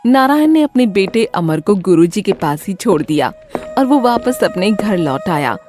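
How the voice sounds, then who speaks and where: A woman's voice: medium pitch, big pitch swings, moderate pace, a little mellow, faint music behind. A woman narrating a scripted children's moral story as the storyteller.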